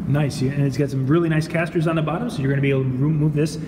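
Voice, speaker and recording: A man's voice: fairly high pitch, steady tone, rapid-fire. A man hosting a casual guided tour in an informal, agreeable way. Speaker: host of a video show. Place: small hard-walled demo room with high ceiling, indoors. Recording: echoey reverberant room with noisy ambience.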